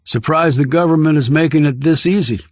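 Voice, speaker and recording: A man's voice: on the high side, brisk, no room sound, lightly acted. A man voicing a kindly, wry guardian in audiobook dialogue.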